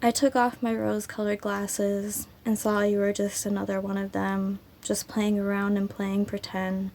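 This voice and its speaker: A woman with a sick, raspy voice, hosting her poetry channel and reading her own poems in a slow, unhurried way with frequent ums. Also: mid-range voice, completely flat delivery, a little mellow.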